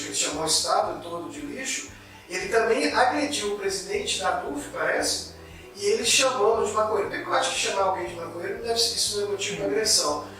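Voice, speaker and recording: A man from Goiás in Brazil co-hosting a political talk show, talking in an indignant, halting way with many restarts. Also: sits high, pushed and bright, heavy reverb, recorded from a distance, low-quality recording. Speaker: political commentary co-host. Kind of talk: casual political discussion.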